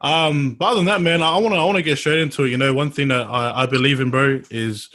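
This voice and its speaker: A man hosts a podcast in a loose, rambling way, stacking fillers before he gets to his question. His voice sits at an ordinary middle pitch. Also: fairly even intonation, fast talker, fairly bright tone. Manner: friendly, rambling, says bro and man a lot. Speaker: podcast host. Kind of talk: casual interview talk.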